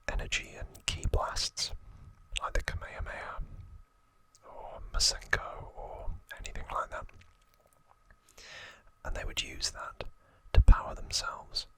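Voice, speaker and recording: A man hosting ASMR, narrating trivia in a breathy, hushed whisper with unhurried pauses. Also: no room sound. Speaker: ASMR host.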